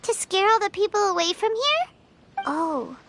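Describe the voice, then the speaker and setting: Tiny, very high and lively game companion, inquisitive, noisy capture. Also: female voice, unhurried, full character voice. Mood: curious. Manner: inquisitive, animated.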